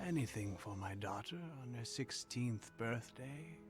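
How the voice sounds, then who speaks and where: A man playing an overprotective, doting lord father in an animated fairy tale, formal and tender but controlling. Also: medium pitch, a little slow, music in the background, natural voice.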